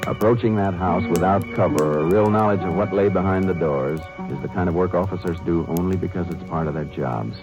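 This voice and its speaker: An actor playing a steady Texas Ranger, calm and plain-spoken, giving clipped orders and narrating in a 1950s radio drama. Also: male voice, low voice, brisk, hardly any pauses. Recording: muffled old radio recording with music.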